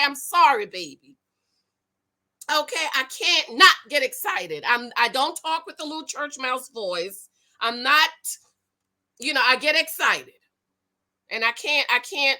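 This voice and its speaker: A woman with a high voice that rings bright and sharp. She talks loud and excitable, her voice carrying, and she preaches in an animated way with lots of emphatic repetition. She reads a news story aloud and comments on it as she goes. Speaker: YouTube commentator on money and marriage. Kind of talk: casual commentary reading and reacting to a news story.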